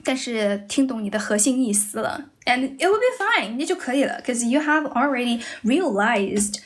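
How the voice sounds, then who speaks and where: A woman's voice: on the high side, expressive, moderate pace. A young woman from China with a Chinese accent in English, chatting casually on a livestream as an English teacher and thanking viewers as she goes. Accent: Chinese, mixed with American and British.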